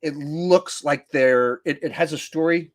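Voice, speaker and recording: A man hosting a podcast in casual discussion, acting out his reactions in animated asides. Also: on the high side, measured pace. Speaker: podcast host.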